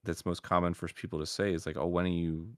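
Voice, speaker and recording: A man's voice: sits low, little pitch movement, quick pace, clean tone, no echo, studio quality. A man as an interview guest, speaking thoughtfully with many "um" and "uh" fillers. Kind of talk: casual interview chat.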